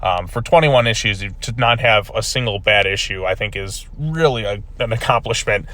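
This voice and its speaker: A man's voice: on the low side, moderate pace, bright, forceful tone. Guy rambling thru a comic review, says like a lot, voice still getting better after being sick. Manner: rambling, many fillers and "like I said". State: recovering from a lost voice. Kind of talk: casual video review.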